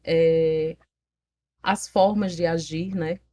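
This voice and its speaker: Frank, lively Northeastern Brazilian woman. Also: deep voice, extremely slow. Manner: animated, frank, laughs at herself, tags many phrases with "né". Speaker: poet, interview guest. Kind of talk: casual interview storytelling.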